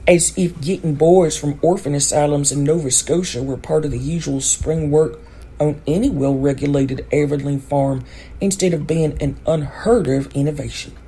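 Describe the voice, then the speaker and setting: Woman doing audiobook narration, deep for a lady, kinda husky, story reading style. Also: normal speed, mellow. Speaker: audiobook reader.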